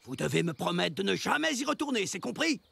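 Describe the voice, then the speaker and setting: A man's voice: sits high, big pitch swings, fast talker, gravelly, noisy background, full character voice. A man voicing a stern, solemn village leader who speaks with authority in a French cartoon dub.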